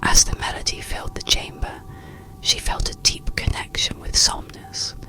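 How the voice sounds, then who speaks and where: A narrator reading a bedtime story in a soothing, gentle, breathy ASMR whisper, with deliberate phrasing. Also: faint music behind.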